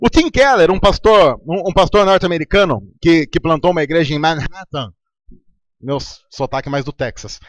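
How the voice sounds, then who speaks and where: Brazilian male pastor, high animated voice, dry close sound, chatty sermon delivery. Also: moderate pace, no room sound. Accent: Brazilian Portuguese. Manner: conversational preaching with anecdotes.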